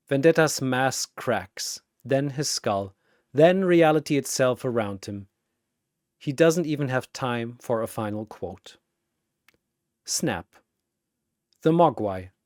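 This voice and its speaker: A man narrating a dramatic battle story in a theatrical, measured way, with pauses between short phrases. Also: mid-range voice, a little mellow, dry recording, studio quality.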